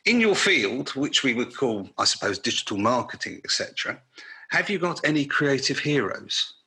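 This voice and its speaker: A man's voice: medium pitch, sing-song, moderate pace, pushed and bright, low-quality recording. A man hosting a podcast, chatting casually as he interviews a guest.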